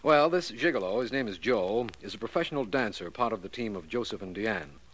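An actor playing a busy, earnest, businesslike district attorney in a 1940s radio drama. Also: male voice, fairly low pitch, expressive, fairly bright tone, a little hiss or hum. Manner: brisk, official.

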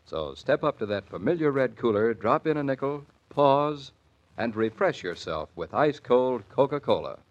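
A man's voice: mid-range voice, very animated, normal speed. A man announcing a sponsor's commercial in friendly, folksy 1940s radio style. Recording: muffled old 1940s radio recording.

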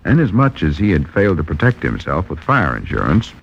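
An extremely low American man's voice on a dull old 1940s radio recording with some hiss. He reads scripted narration as the show's announcer. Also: wildly swooping pitch, fairly quick, creaky.

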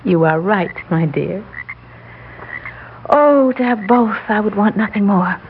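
A woman's voice: medium pitch, animated, slow pace, a little hiss or hum. An actress in a 1960s radio drama playing an adoring, anxious female accomplice, with a breathless romantic manner. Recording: dull old radio recording.

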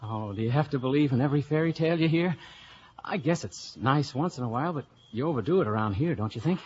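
An actor playing a breezy, skeptical young American in a 1950s radio drama. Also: male voice, mid-range voice, lively intonation, fast, darkish tone, slight background noise, lightly acted. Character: breezy, skeptical young visitor wooing a girl. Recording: muffled old radio recording with music.

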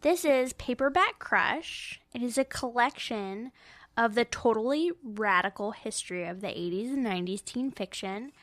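Playful, higher-voiced American woman, dry close recording. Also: normal speed, no room sound. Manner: playful and expressive, acts out sound effects. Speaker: podcast co-host. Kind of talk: casual book chat.

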